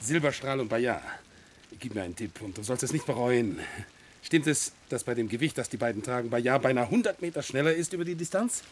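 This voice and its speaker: An actor playing a smooth-talking gentleman stranger in a radio play, condescending and wheedling as he offers bribes. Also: medium pitch, animated, fairly quick, a little hiss or hum, close to an everyday voice. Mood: wheedling, sly. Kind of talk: German radio play acting.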